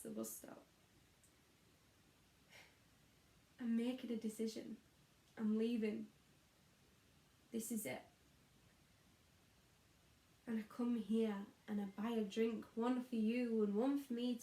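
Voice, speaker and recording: A woman's voice: fairly high pitch, little pitch movement, unhurried, pauses often, dark tone. A woman playing a weary, disillusioned young schoolteacher in an introspective, confiding stage monologue. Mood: resolute. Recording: echoey room.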